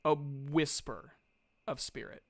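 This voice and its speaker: A man's voice: a little high, slow pace, no echo. A man running a tabletop game as game master, narrating casually with an informal, playful, reassuring manner.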